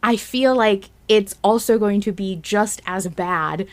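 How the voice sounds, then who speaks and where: A woman's voice: mid-range voice, slow pace. A woman hosting a fan podcast, talking casually in a candid, analytical way and swearing now and then. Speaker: podcast host.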